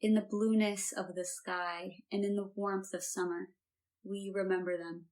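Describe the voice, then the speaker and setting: Slow, even-toned woman reciting a litany. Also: medium pitch. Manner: measured, repetitive cadence. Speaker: reader at a memorial service.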